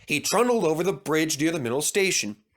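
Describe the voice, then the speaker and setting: A man narrating a children's storybook with slow, expressive storytelling. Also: on the high side. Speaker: storybook reader.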